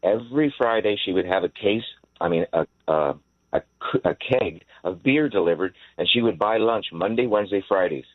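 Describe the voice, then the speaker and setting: An American man in his sixties calling a radio show, telling a personal story in an earnest, halting way. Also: sits low, no room sound. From Hawaii, United States. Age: 60s. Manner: earnest, halting, repeats words. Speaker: phone-in caller. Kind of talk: casual personal anecdote. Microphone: telephone. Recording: tinny narrow phone line.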